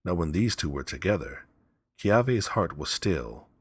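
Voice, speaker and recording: A man narrating a story as an audiobook reader, in measured, simple phrasing. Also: sits low, sing-song, breathy edge, dry recording, studio quality.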